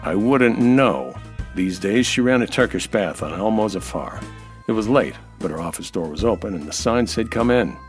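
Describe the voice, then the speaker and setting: An actor playing a wry, hardboiled American nightclub owner, dry and wisecracking, in the manner of old radio noir. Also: male voice, on the low side, expressive, moderate pace, music in the background, close to an everyday voice. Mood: wry, narrating. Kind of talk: old-time radio noir narration and dialogue.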